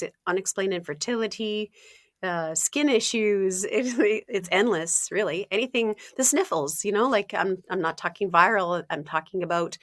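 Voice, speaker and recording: Woman, 60s, health coach, chatty, laughs a lot, throat-clearing, mid pitch, dry sound. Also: fairly bright tone, no room sound. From Ontario, Canada. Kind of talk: casual interview talk.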